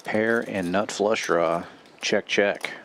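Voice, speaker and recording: A man calling live poker action as a stream commentator, in short, steady phrases. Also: fairly low pitch, slow pace, slight background noise.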